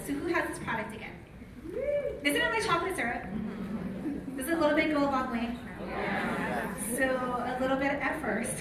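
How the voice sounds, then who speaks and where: An American woman giving a live product training, speaking informally and putting questions to her audience. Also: high-pitched. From lives in Utah, United States. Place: large room with an audience. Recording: echoey room, distant mic, noisy.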